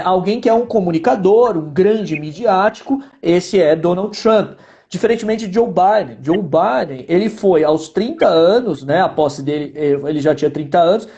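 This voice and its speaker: A man's voice: high-pitched, warm tone. A man with a Brazilian accent giving explanatory political commentary in a didactic way, repeating points for emphasis and often adding tag questions.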